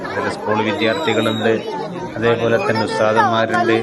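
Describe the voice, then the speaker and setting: A man narrating a video for viewers in deliberate, halting phrases. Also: lowish voice, completely flat delivery. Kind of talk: explanatory voice-over narration addressed to viewers. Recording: loud crowd and outdoor noise behind.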